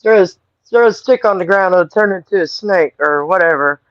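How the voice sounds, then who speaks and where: A woman guest chiming in with quick remarks over a call. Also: lowish voice, no room sound, highs cut off. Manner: chatty, chimes in with quick remarks. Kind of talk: casual chat.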